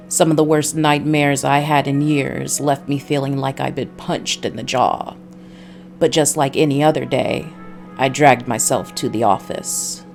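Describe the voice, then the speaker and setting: A woman reading as a low, brooding noir detective. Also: creaky, darkish tone, full character voice. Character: brooding, world-weary noir detective narrating his story. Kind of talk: scripted first-person noir narration.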